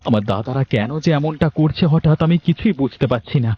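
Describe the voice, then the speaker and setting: A man's voice: on the high side, lively intonation, brisk, slightly rough, dry recording, lo-fi sound. A man voicing an earnest younger village brother in a Bangla cartoon, with a plain-spoken delivery. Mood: wistful.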